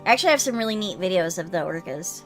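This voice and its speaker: A woman's voice: on the low side, lively intonation, quick pace, over music. A woman chatting casually as she hosts a livestream. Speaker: streamer hosting a live game readthrough.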